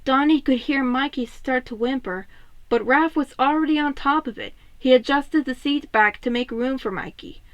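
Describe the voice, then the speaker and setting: Woman, high-pitched, expressive fan fiction narrator, scripted storytelling, dry sound. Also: moderate pace, dry recording, muffled recording.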